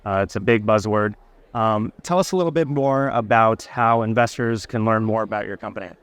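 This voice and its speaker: A man's voice: on the low side, brisk, a bit bright, clean tone, no room sound. A man hosting a business interview, upbeat and encouraging, sometimes stumbling over his questions. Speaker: interview host.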